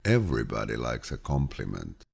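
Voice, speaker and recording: A man reading quotations as an audiobook narrator in a deep, gravelly, husky voice, calm, soothing and grandfatherly in his measured storytelling.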